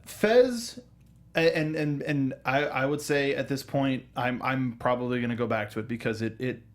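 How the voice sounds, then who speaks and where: A man's voice: medium pitch, moderate pace. A man co-hosting a casual talk show, chatting in stop-start bursts with repeated words and slipping into mimicked quotes as he tells things. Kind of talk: casual film and video game discussion.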